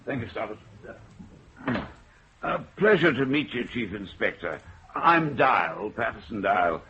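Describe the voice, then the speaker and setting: An actor playing a smooth, businesslike bank general manager in a radio drama. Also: male voice, lowish voice, big pitch swings, unhurried, pushed and bright, a little hiss or hum, exaggerated voice. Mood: urbane. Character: suave bank general manager, middle-aged man. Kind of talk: 1970s radio drama acting. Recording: dull lo-fi radio play recording.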